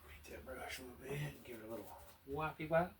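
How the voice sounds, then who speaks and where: A man with a strong Southern US accent, chatting in a folksy, unhurried way as he teaches painting, murmuring asides to himself. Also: medium pitch. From American South. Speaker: painting instructor. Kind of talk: relaxed painting tutorial with casual chat. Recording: distant mic with clear background noise.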